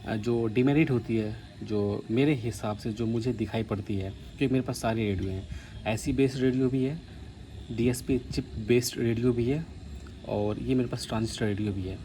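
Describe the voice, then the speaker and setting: A man from India giving a casual, explanatory hobbyist demonstration, pausing now and then mid-sentence. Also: medium pitch, dark tone. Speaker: vlogger demonstrating a vintage radio. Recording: faint background noise.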